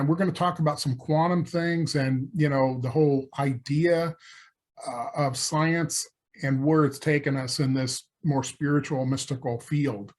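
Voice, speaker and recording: A man co-hosting a podcast, teasing and joking sarcastically in casual chat. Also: on the high side. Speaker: podcast co-host.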